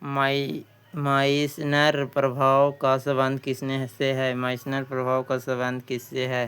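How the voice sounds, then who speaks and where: Even-toned male tutor with a clear voice. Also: mid-range voice. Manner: halting, repeats phrases and answers. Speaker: exam-prep tutor. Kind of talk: quiz lesson, reading questions and answers aloud.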